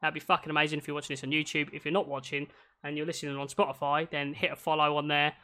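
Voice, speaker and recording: A man's voice: on the high side, flat delivery, very fast, pushed and bright. A man hosting a casual podcast from home over a video call, rambling and swearing freely with lots of restarts. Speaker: podcast host.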